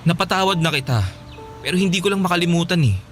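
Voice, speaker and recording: A man's voice: high voice, fast, fairly bright tone, soft background music. An actor playing an earnest young man who firmly refuses a former love, emphatic and reasoning, in a radio drama. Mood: regretful. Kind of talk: Filipino radio drama acting.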